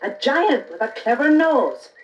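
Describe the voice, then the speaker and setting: A woman voicing Jack, an eager and excitable young boy in a rhyming storybook, pleading in a breathless way. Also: sits high, a little slow, far from the mic, muffled recording. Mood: alarmed.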